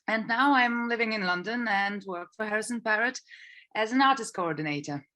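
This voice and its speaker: A woman from Poland, explaining things informally as an interview guest in hesitant, halting phrases. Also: fairly high pitch, pushed and bright. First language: Polish. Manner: hesitant, halting phrasing with frequent repeated words. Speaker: podcast guest, artist coordinator.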